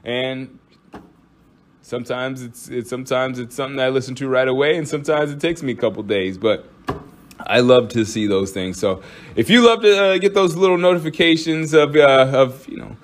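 A man's voice: mid-range voice, normal speed. A man hosting his own podcast, chatting casually and rambling with lots of fillers and jokes. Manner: rambling, joking, frequent fillers and restarts. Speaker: podcast host. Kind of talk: casual solo podcast chat.

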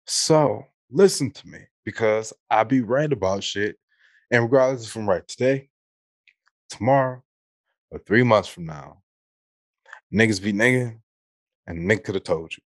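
American male podcast co-host, low register, dry close sound, opinionated and slangy. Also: animated, measured pace, pauses often, no room sound. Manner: opinionated, colloquial, mildly profane. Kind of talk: casual unscripted chat.

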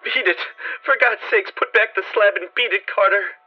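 A man's voice: sits high, moderate pace, highs cut off, pitched above the performer's own voice. A man doing a panicked trapped man pleading. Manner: desperate, pleading, rising to shrieks.

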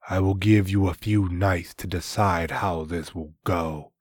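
A man's voice: low-pitched, fairly even intonation, unhurried, no room sound, clean studio sound, voice pushed down. A man voicing a menacing masked villain who gives cold, commanding threats.

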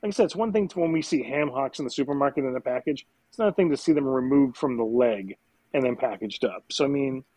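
Husky wisecracking man, dry sound. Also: medium pitch, quick pace, dark tone, dry recording. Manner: wisecracking, swears, talks in restarts. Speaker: podcast co-host. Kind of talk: casual movie review chat.

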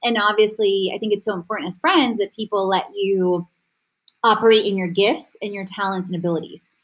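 A woman's voice: mid-range voice, steady tone, fairly quick. A woman hosting a casual group conversation in an affirming, encouraging manner. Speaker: host.

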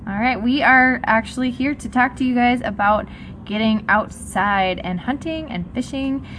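A woman's voice: fairly high pitch, normal speed, fairly bright tone, a little hiss or hum. A young woman hosting a podcast, asking casual interview questions and laughing often. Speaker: podcast host.

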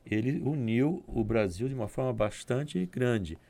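A man talks through his points slowly and carefully as a guest on a discussion program, often restarting his sentences. His voice is gravelly with plenty of fry, sitting at an ordinary mid pitch. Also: expressive, warm tone, no echo. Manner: measured, explanatory, with hesitant restarts.

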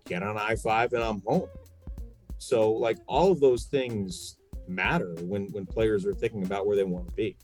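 A man from New York with a slightly deep voice talks sports casually. He makes his points emphatically and throws out rhetorical questions. Faint music sits under his voice. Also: moderate pace, darkish tone. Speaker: sports podcast guest and radio play-by-play broadcaster. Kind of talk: casual sports talk, opinionated.

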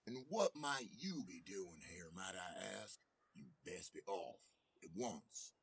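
A massive, scornful giant from a storybook, put on by a male narrator. The voice sits very low and has a rough husk to it. He speaks in a haughty, grudging way, as one who looks down on smaller folk. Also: lively intonation, slow, exaggerated voice. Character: huge scornful giant, gruff and easily outdone. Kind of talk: storybook character voice.

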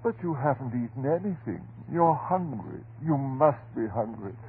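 An actor playing a frantic, devoted, unhinged husband in a vintage horror radio drama, melodramatic, pleading and halting. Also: male voice, mid-range voice, animated, gravelly. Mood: coaxing. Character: frantic, devoted, unhinged husband guarding his transformed beloved. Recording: muffled, noisy old radio recording.

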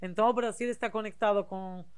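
A woman from southern Spain, a native Spanish speaker, talking casually in non-native Portuguese with a Spanish accent, slightly halting and mixing in Spanish words. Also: medium pitch, steady tone, clear, resonant tone. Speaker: interview guest, body piercer. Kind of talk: casual conversational storytelling.

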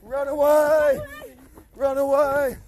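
A man's voice: extremely high pitch, flat delivery, slow, a little mellow. A man hosting a casual podcast chat on a drive, teasing and laughing with friends. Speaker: podcast host.

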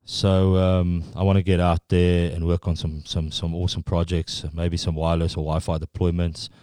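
Middle-aged South African guest, Afrikaans accent, resonant low voice, stop-start delivery. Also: male voice, flat delivery, a little mellow, clean tone, no room sound, clean studio sound. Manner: halting, full of restarts and fillers. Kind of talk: casual interview chat.

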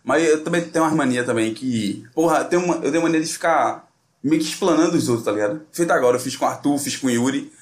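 A man's voice: a little high, quick pace. A young man with a Northeastern Brazilian accent hosting a casual podcast among friends, slangy, profane and teasing. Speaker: podcast host.

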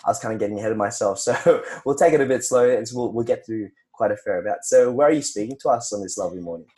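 A man hosting a podcast, with a low and mellow voice. He keeps the talk friendly and relaxed and laughs easily. He trips over a word or two as he goes. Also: fast talker. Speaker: podcast interviewer. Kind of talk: casual interview conversation.

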